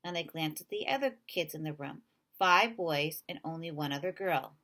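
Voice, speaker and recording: A woman narrating a children's novel in an expressive read-aloud style. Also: sits low, moderate pace.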